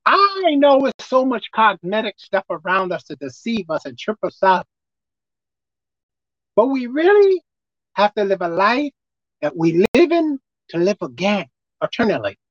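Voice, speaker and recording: A man with a squeaky voice preaching a sermon as pastor, emphatic and forceful. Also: very animated, a little slow, no room sound, lo-fi sound. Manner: emphatic, pitch leaping up and down.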